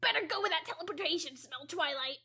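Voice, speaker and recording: A woman doing a loud cocky tomboy pegasus. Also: extremely high pitch, expressive, quick pace. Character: brash tomboyish young female pegasus pony.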